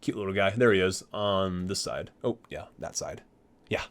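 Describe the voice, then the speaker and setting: A man's voice: low voice, moderate pace, smooth. A man hosting an ASMR video, reading aloud from a guidebook with theatrical emphasis on titles and casual muttered asides.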